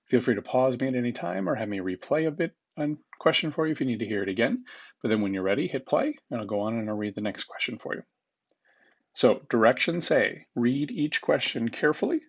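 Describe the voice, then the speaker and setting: A man's voice: mid-range voice, expressive, fairly quick, vocal fry, slightly rough, highs cut off. A man speaking as a teacher, reading test questions aloud to students in an informal, instructive manner and repeating them.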